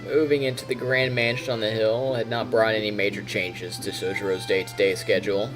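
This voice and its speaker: A man's voice: fairly low pitch, level tone, a little fast, low-quality recording. A man streaming, reading narration from a visual novel aloud and adding casual comments. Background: game music.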